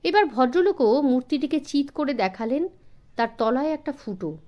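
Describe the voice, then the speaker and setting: A woman reading a suspense story as an audiobook narrator, in an expressive scripted style suited to children. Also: sits high, moderate pace, dull audio.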